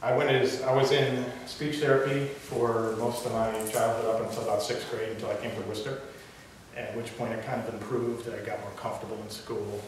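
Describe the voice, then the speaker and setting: A man's voice: medium pitch, little pitch movement, a little fast. A young man giving a prepared speech to a club audience, with occasional stutters and restarts. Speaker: club speaker. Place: meeting room. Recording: echoey room from far off, hiss.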